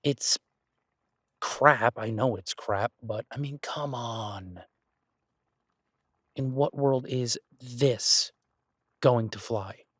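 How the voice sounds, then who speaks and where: A man's voice: mid-range voice, extremely slow, pauses often, a bit bright, slightly rough, no room sound, studio quality, exaggerated voice. A man playing an exasperated yet affectionate chef boyfriend in a roleplay audio, ranting incredulously and conversationally to his partner. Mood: exasperated, teasing. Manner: ranting, incredulous, emphatic with repeated words. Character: exasperated but affectionate human boyfriend, restaurant chef for supernatural guests.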